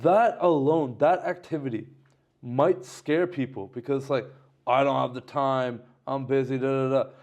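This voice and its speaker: A man's voice: medium pitch, a little slow, dull audio. A man in his late twenties, hosting a podcast and talking casually and energetically with many "like"s. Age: 20s. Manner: energetic, loose, heavy use of "like". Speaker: podcast host. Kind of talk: casual conversation.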